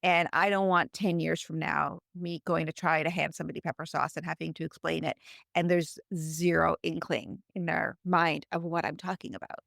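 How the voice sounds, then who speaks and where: A woman from Canada hosting a conversational podcast, phrasing her thoughts in a thoughtful, measured way. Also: sits low, fairly even intonation, vocal fry, fairly bright tone, no echo, studio quality. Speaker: podcast host.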